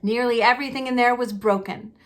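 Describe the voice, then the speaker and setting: A woman narrating a children's novel in an expressive read-aloud style. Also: fairly high pitch, brisk.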